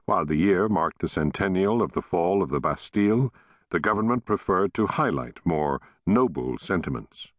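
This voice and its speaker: Deep-voiced man narrating an audiobook. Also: dry recording. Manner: measured, expressive storytelling reading.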